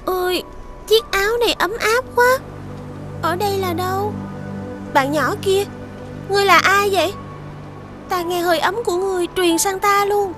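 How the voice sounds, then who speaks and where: A woman voicing a sweet, earnest young princess in an animated fairy tale, childlike and polite. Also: very high-pitched, normal speed, pauses often, over music. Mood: curious.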